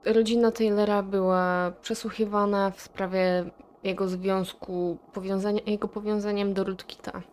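A woman giving casual reaction commentary, reading text aloud and thinking out loud in a chatty, inquisitive way. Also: mid-range voice, little pitch movement, normal speed, clear, resonant tone. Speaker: video creator reacting to analog horror.

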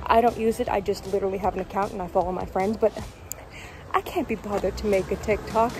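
Chatty young woman vlogging outdoors over music. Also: mid-range voice. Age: young adult. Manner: chatty, informal, jokey asides. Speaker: vlogger and author. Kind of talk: casual walk-and-talk vlog. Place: outdoors on city streets, walking.

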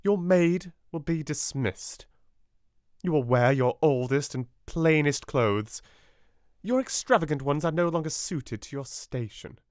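A man's voice: a little high, animated, measured pace, a little mellow, dry recording, studio quality, pitched above the performer's own voice. A man with a British accent voicing a stern, domineering headmistress in a clipped, commanding way. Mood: cold. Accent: British English.

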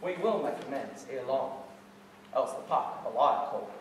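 A man's voice: medium pitch, lively intonation, a little slow, lightly acted. A teenage boy playing a mischievous fairy sprite in a declamatory, playful Shakespearean stage monologue. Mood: coaxing, apologetic. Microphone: distant camera or microphone. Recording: very echoey hall from far off, noisy.

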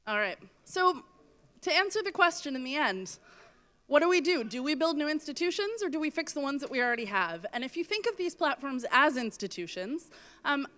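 A woman's voice: high voice, big pitch swings, fairly quick, bright, forceful tone. A woman in her thirties giving a festival talk on stage with a handheld mic, losing her voice, earnest and self-correcting. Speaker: festival speaker. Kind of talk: conference talk. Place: large festival tent stage.

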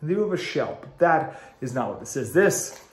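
A man's voice: fairly high pitch, big pitch swings, normal speed, noisy background. A man in his thirties, congested, giving a chatty, enthusiastic video review to the camera in a small room at home. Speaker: ice cream reviewer. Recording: roomy home recording.

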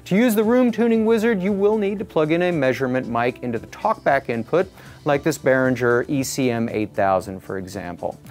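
A man's voice: mid-range voice, a little fast, a little mellow. A middle-aged man reading a scripted product review as the presenter. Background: background music.

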